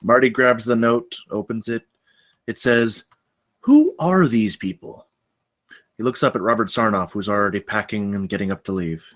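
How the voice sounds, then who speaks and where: A man narrates a film script at a table read. His voice is on the low side. He reads out scene headings and stage directions as the narrator. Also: little pitch movement, a little fast, fairly bright tone.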